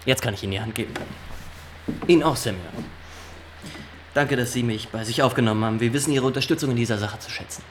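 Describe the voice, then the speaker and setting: A man's voice: mid-range voice, fast talker, long pauses, background noise, lightly acted. An actor in a German radio drama playing a stiff, officious young male inspector who questions people formally and insistently. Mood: formally polite. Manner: formal, pedantic, insistent interrogating.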